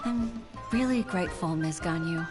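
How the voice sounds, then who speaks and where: A woman's voice: lowish voice, normal speed, natural voice. An actress playing a modest, grateful adult secretary and mother in a video game, speaking politely and earnestly. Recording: background music behind the voice.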